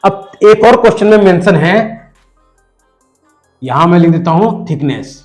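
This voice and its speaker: A man with a hoarse voice from a cold, patiently explaining a math lesson step by step and talking straight to the viewer. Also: high-pitched, animated, faint music behind. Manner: patient, addresses the viewer directly, repeats numbers slowly. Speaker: math teacher.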